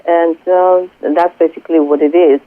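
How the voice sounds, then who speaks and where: Woman, Greek accent, spiritual coach, earnest, halting with many pauses, tinny phone line, dry sound. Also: on the low side, fairly even intonation, no room sound. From Greece. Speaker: spiritual coach and Reiki healer. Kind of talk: radio interview guest by phone. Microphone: telephone.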